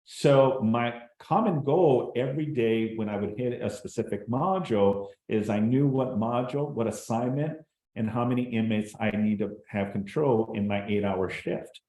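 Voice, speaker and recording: A man who works as a real estate broker, giving an energetic short talk to an audience and often turning to them with "right?" and "folks.". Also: mid-range voice, normal speed, poor audio. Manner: animated, addresses the crowd with "folks" and "right?".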